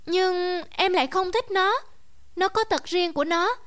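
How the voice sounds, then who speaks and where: A woman's voice: very high voice, unhurried, fairly bright tone. A woman doing a sweet, sly small child. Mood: coaxing.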